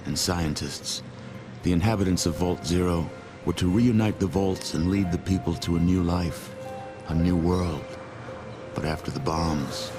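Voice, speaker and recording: A grave game narrator with a deep, low voice. His delivery is scripted, solemn and portentous, in a cinematic style. Music plays clearly behind him. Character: grave, solemn male narrator of post-nuclear history.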